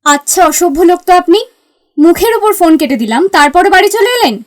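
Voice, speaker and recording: A guarded young woman in an audio romance, acted by a woman, speaking in a high voice. She is prickly and irritated, pushing back at a persistent suitor. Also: lively intonation, a little fast. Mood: angry. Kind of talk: audio drama dialogue.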